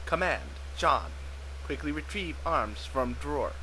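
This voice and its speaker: Man as a terse game commander, clipped imperatives, bright forceful tone, web comic reading, faint noise. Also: fairly high pitch, lively intonation, measured pace, rough recording. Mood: curt, commanding. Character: terse commanding voice issuing text-game orders.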